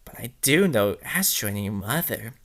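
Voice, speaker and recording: A performer voicing a sinister hooded kidnapper from a fanfic, speaking in a hushed, low, taunting and menacing way. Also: big pitch swings, moderate pace. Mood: quiet menace.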